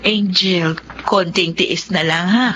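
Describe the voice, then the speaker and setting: A woman's voice: lowish voice, steady tone, slow, bright, forceful tone, slight background noise. An actress playing a poor, patient and protective mother in a radio drama. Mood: patient, caring. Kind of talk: dramatic radio narration and dialogue.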